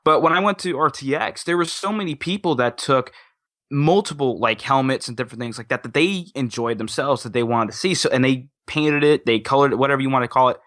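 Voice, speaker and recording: A man's voice: mid-range voice, fairly quick, a bit bright, no room sound, clean studio sound. A young man in casual, enthusiastic conversation, rambling earnestly and repeating himself as he goes. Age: young adult.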